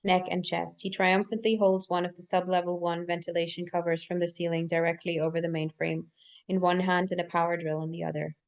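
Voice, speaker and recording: Female narrator for a screenplay table read, lowish voice, reads directions with the odd stumble. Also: level tone, a little fast. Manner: stumbles and repeats words while reading aloud.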